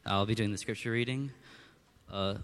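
A man's voice: lowish voice, steady tone, normal speed, a bit bright, smooth. A man reading scripture aloud as a reader at church.

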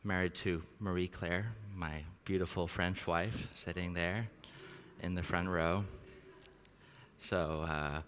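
Deep-voiced man preaching in a reverberant hall. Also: steady tone, slow, fairly bright tone, a little hiss or hum. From Norway and Southeast Asia. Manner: informal, chatty. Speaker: missionary preaching a sermon. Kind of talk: conversational sermon with prayer and storytelling.

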